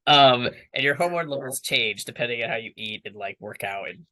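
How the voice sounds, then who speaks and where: A man's voice: medium pitch, lively intonation, normal speed, noisy background. A young man speaking candidly as an interview guest, rambling with many fillers and restarts. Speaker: interview guest, water professional.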